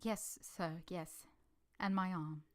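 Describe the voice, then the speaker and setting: An actress playing a devoted, composed young woman in an old-time radio drama, earnest, deferential and measured. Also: on the low side, fairly even intonation, dry recording, studio quality. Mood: tender, loyal.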